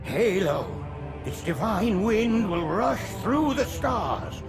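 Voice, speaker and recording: An ancient, frail alien priest-ruler with a high, quavering, creaky voice. He preaches with fervent reverence, his pitch sweeping up and down. Music swells under him throughout. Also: sing-song, slow pace, heavily acted. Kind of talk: video game cutscene acting. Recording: game soundtrack with music behind.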